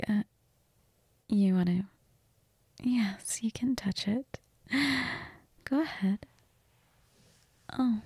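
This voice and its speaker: Woman playing a breathy, flirtatious vampire. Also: mid-range voice, dry recording, exaggerated voice. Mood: flirty. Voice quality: breathy, half-whispered.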